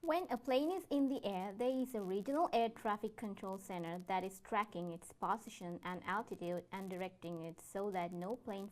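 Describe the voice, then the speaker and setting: A woman's voice: fairly low pitch, low-quality recording. A woman presenting a scripted educational explainer.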